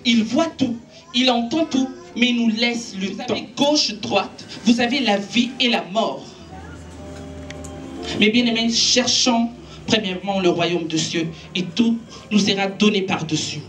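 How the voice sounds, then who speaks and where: A woman's voice: measured pace, fairly bright tone. Woman preacher, Italian first language, earnest exhorting delivery, recorded from a distance with faint music under her. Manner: earnest, exhorting, rising in pitch and force when preaching. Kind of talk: sermon. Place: church gathering in a makeshift space.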